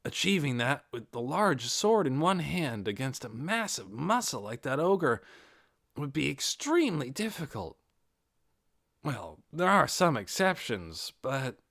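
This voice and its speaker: A man's voice: mid-range voice, sing-song, unhurried, vocal fry, fairly bright tone, no room sound, studio quality, heavily acted. A man voicing an earnest, modest young male adventurer leader in an audiobook, explaining things carefully.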